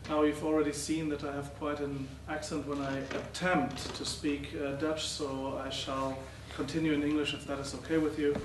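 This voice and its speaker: A middle-aged man giving a conference talk as a professor presenting research, speaking haltingly with many uh and um fillers. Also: on the high side, level tone. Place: lecture hall. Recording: roomy, distant, faint noise.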